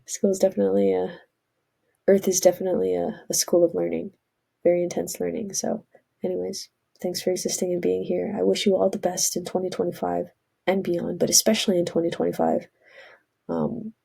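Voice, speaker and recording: A woman's voice: lowish voice, vocal fry, dark tone, breathy edge. A woman, congested from a cold, rambling earnestly in a casual spiritual vlog monologue. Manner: rambling, earnest, self-correcting. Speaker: vlogger.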